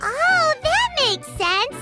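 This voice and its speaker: A woman voicing a small, childlike fairy companion in a video game, squeaky, curious and excitable. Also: drawn-out words, full character voice. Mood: chirpy. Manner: speaks of herself in third person, exclaims easily. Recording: studio voice under loud playful music.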